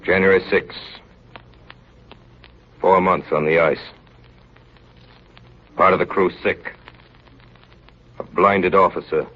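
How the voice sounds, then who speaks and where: An actor playing a steady, authoritative ship's officer in a 1930s radio drama, grave and firm with clipped phrasing. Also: male voice, sits low, slow pace, pauses often. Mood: grave, weary. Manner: grave, clipped log-style narration, firm in dialogue. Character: steady, authoritative ship's chief officer narrating polar ordeal. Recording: dull, lightly noisy 1930s radio recording.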